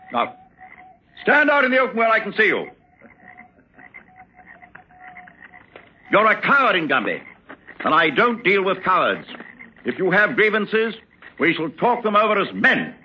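An actor playing a middle-aged, bullying colonial colonel with a rigid, peremptory military manner in a drama. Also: male voice, high-pitched, big pitch swings, normal speed, pushed and bright, full character voice. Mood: alarmed, defiant. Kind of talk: dramatic acting. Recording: dull lo-fi sound with faint noise.

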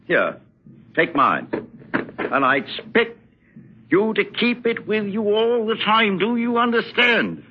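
An actor playing a middle-aged, bullying colonial colonel with a rigid, peremptory military manner in a drama. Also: male voice, sits high, sing-song, takes it slowly, long pauses, heavily acted. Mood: exasperated. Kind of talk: dramatic acting. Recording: dull lo-fi sound with faint noise.

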